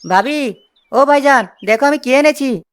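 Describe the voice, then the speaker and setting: A woman's voice: high-pitched, normal speed, close to an everyday voice. A woman voicing a lazy, cheeky, good-hearted young village man in a children's cartoon, breezy and boastful. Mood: cheerful, boastful. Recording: loud music under the voice. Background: loud music.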